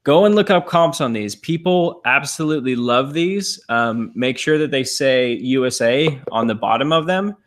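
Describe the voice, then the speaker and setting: An upbeat man in his thirties chats casually from a living room couch, holding thrift finds up to a camera set across the room. His voice sits in an ordinary middle pitch. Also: moderate pace, hardly any pauses. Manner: upbeat, shows items to camera. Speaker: reseller livestream co-host. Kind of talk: casual livestream chat. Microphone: fixed camera across the room.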